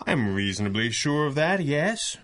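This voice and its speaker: An actor playing a clever masked crime fighter in a 1930s-style radio adventure, speaking formally with dry understatement and command. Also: male voice, mid-range voice, big pitch swings, moderate pace, a bit bright. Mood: dry, thoughtful. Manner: formal, wordy, dryly understated. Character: masked male mystery-man hero, clever, dry, commanding.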